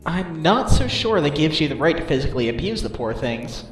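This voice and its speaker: Casting: man as sincere male attorney, lightish pitch, incredulous asides, game fan dub. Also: sing-song, brisk, soft background music. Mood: alarmed, wry. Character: earnest, reactive male defense lawyer, mildly exasperated.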